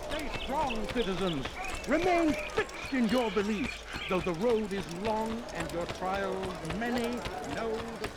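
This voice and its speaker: An actor playing a zealous street herald in a video game, declaiming to citizens like a public orator. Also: male voice, high-pitched, animated, measured pace, few pauses. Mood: fervent. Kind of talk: dramatic video game acting. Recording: loud street noise with horse hooves.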